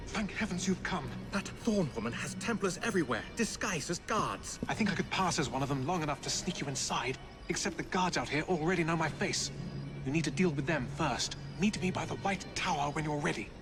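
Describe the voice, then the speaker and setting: A man's voice: fairly high pitch, lively intonation, a little fast, over music. An actor playing an anxious, loyal Victorian royal guardsman in a video game, speaking urgently and earnestly. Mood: anxious, conspiratorial. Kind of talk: video game cutscene acting.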